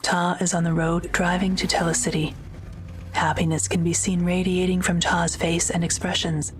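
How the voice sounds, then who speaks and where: A woman's voice: lowish voice, little pitch movement, normal speed, slight background noise. A woman reading scripted narration for an audio drama.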